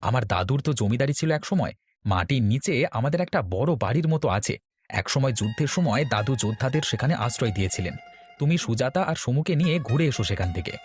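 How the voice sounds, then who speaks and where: A man's voice: mid-range voice, lively intonation, quick pace, lightly acted. A man voicing a considerate young newlywed husband in a cartoon, coaxing and reassuring. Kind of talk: cartoon dialogue. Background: background music.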